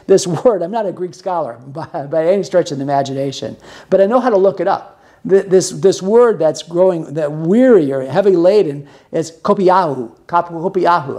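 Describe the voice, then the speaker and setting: A man's voice: a little high, a little mellow. A man in his sixties, a podcast host teaching a Bible lesson in an earnest, plainspoken way and restarting words as he explains, recorded in a small room. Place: small room with music gear behind.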